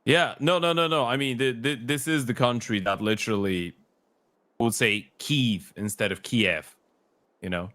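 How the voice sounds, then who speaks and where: A man casting an esports tournament, filling a delay with relaxed, easygoing chat. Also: medium pitch, normal speed, no room sound. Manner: relaxed, easygoing, lots of fillers. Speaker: esports caster. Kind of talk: filling airtime with casual chat.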